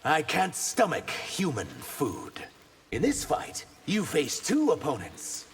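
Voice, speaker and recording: An actor playing a humble, reserved reptilian shape-shifter in a video game, serious and hesitant. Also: male voice, on the high side, huge pitch swings, slow pace, slight background noise, full character voice. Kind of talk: video game fight banter.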